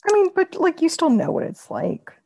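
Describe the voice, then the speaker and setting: A woman's voice: extremely high pitch, huge pitch swings, moderate pace, creaky, warm tone, dry recording, studio quality. A young adult woman chatting informally as a podcast co-host, with a wry, teasing way of talking. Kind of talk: casual banter between siblings.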